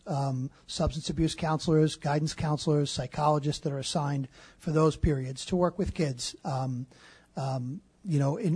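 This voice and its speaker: A man with a slightly husky, somewhat high voice speaks as a school district official. He answers questions at a public meeting in a measured way, with frequent fillers. The recording is dry, with no room echo. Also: warm tone.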